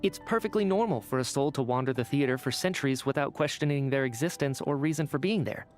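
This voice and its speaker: Man acting a calm, analytical young game detective. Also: medium pitch, faint music behind, close to an everyday voice. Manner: deliberate, reasoning. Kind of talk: scripted game dialogue.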